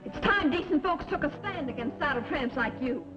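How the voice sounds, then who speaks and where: An actress playing a spirited, righteous young schoolteacher in an old-time radio western. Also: female voice, high voice, lively intonation, brisk. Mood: defiant. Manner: feisty, righteous. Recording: muffled old radio recording with music.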